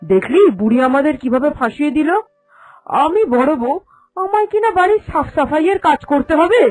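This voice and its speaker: A woman's voice: high-pitched, fairly quick, a little mellow, close to an everyday voice, rough recording. A woman playing a grumbling, scheming daughter-in-law in a cartoon, with a whining, complaining delivery. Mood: resentful, scheming. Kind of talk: animated cartoon dialogue.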